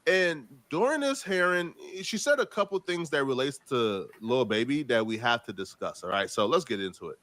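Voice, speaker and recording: A man hosting a reaction show, giving casual, animated commentary in slangy street talk. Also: mid-range voice, normal speed, few pauses. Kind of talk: casual commentary on court news.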